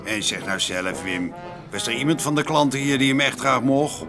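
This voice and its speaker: A man plays a sceptical pub regular in a radio drama. He talks slowly at a middle pitch, with music clearly audible behind him. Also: lively intonation, lightly acted. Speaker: actor in a radio play. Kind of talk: Dutch radio drama acting.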